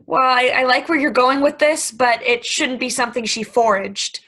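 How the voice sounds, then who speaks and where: A woman's voice: sits high, normal speed, bright, forceful tone. A woman playing a scheming, eager young plotter in a table reading of a stage play. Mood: hesitant, scheming.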